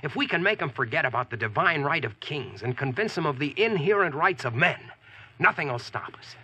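A man's voice: a little high, expressive, fairly quick, pushed and bright, slightly rough, heavily acted, poor audio. An actor playing a practical modern American man among knights, narrating and speaking plainly in 1940s radio style. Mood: frank. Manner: plain-spoken, instructive. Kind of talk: 1940s radio acting and first-person narration. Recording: vintage radio drama recording with music.

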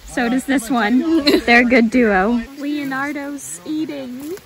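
A woman's voice: sits high, slow. A young woman vlogging on a rainy forest hike, giggly and chatty, filming on a phone held at arm's length. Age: young adult. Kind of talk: handheld vlog narration. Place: rainy forest trail outdoors. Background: rain and a stream.

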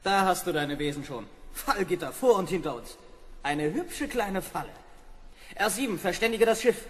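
A man playing an alert, wry astronaut with dry, brisk remarks in an old German radio play. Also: sits high, lively intonation. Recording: reverberant hall with background noise.

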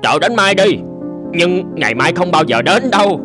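A mocking tavern tough in a cartoon, swoopy taunting delivery, kinda menacing. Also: male voice, high-pitched, sing-song, normal speed. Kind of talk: cartoon dub acting. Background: music.

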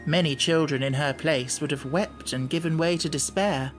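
A man's voice: on the high side, moderate pace, soft background music. Man narrating a children's storybook. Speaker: audiobook narrator.

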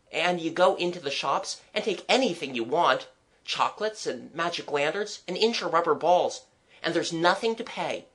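A reader voicing an eager, high-pitched young boy. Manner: excitable, confiding, earnest chatter. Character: eager, imaginative young boy dreaming aloud.

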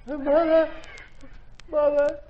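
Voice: sits high, level tone, extremely slow. An actor playing a delirious, dying army captain crying out in agony in a 1950s radio drama. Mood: delirious anguish. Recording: very noisy, dull old radio recording.

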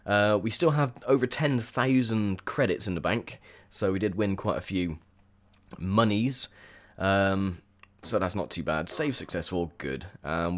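A young man with a croaky, sore voice, chatting casually through gameplay commentary with lots of ums. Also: sits low. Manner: chatty, rambling with many ums. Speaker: gaming video host.

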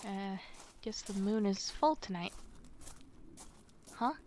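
A woman's voice: mid-range voice, expressive, takes it slowly, a little mellow, a little hiss or hum. A woman playing a nervous, twitchy wolf girlfriend in an ASMR roleplay, stammering and hesitant as she hides something. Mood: nervous, evasive.

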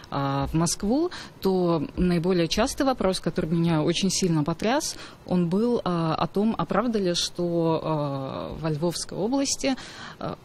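A woman in her thirties speaking as a guest in a radio studio, thoughtful and hesitant with drawn-out fillers. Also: on the low side, slight background noise. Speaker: journalist guest. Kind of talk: studio discussion. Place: dark studio. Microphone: studio desk mic.

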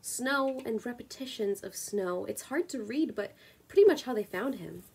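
A woman in her twenties reading poetry and chatting casually on her own video at home, in a measured, deliberate way. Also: a little high, animated, vocal fry, darkish tone, husky. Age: 20s. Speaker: poetry reader and video creator. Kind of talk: spoken word poetry reading with casual introduction. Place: small room at home, indoors.

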